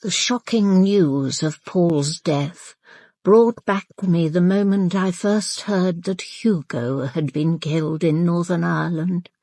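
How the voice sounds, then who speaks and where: An actress playing a proud, grieving aristocratic woman in her eighties in a radio drama, with an upper-class British accent and a measured, clipped, formal manner. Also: fairly low pitch, mellow, no room sound. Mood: grieving, resolute. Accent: upper-class British English. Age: 80s. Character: proud, grieving elderly aristocratic mother, stoic and imperious. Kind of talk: radio drama with first-person narration.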